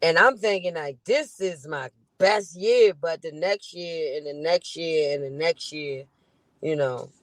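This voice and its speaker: A woman's voice: sits low, a little slow. A woman comedian in her forties talks on a video call. She is laid-back and playful, and her thoughts sometimes trail off. The sound is dry, with no room echo. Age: 40s. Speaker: comedian guest. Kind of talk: casual chat.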